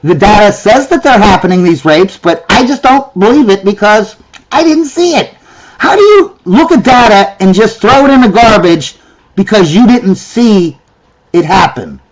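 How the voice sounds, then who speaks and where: A man's voice: high voice, big pitch swings, moderate pace, poor audio. A man giving reaction commentary in a ranting, sarcastic way, often repeating himself.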